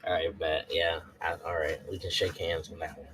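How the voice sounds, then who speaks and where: A man's voice: sits low, little pitch movement, moderate pace, a bit bright, slight background noise, low-quality recording. A man playing a tabletop roleplaying game, chatting casually and thinking aloud in slangy, repetitive phrases.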